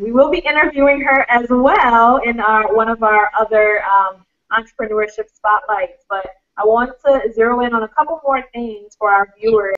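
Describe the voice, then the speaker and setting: A woman host asking interview questions in a measured, polite way. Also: fairly high pitch, a bit bright. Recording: dull lo-fi sound.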